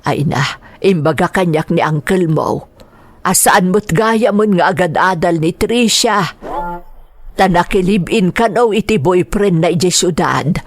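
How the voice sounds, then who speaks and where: Creaky-voiced, kindly Filipino mother. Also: lowish voice, a little slow, no room sound. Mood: concerned, confiding. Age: middle-aged. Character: kindly, grateful mother, an older sister figure, forgiving and earnest. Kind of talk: Ilocano radio drama acting.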